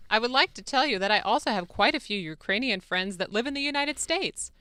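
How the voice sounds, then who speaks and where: A woman's voice: fairly high pitch, expressive, a little fast, sharp tone, clear, resonant tone, no echo, clean studio sound. A woman voicing an eager, deferential foreign president in a formal, polite dramatic reading. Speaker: radio show producer. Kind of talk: dramatic reading of a phone-call transcript. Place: radio studio. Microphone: close studio microphone.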